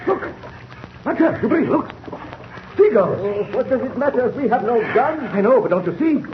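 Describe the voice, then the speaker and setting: Overwrought, weary castaway, radio melodrama: extremely high, urgent, slightly hissy vintage recording. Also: male voice, very animated, normal speed, highs cut off. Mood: excited. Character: worn-out, excitable male castaway convict. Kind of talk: old-time radio melodrama acting.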